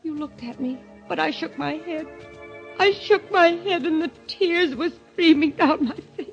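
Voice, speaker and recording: A woman's voice: extremely high pitch, unhurried. A woman playing a terrified wife in an old radio mystery, anguished and pleading as she speaks. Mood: tense dread. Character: terrified wife recounting a nightmare, pleading for her life. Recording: dull vintage radio recording with music.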